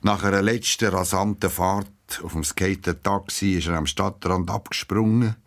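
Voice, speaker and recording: A man with a Swiss accent narrating a scripted children's story, using dramatic pauses. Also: low voice, steady tone. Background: plucked guitar music.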